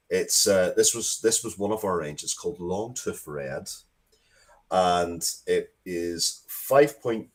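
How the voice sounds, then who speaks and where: A man talking casually as an interview guest, halting and wry, often restarting his sentences. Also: sits low. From Ireland. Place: basement, at home.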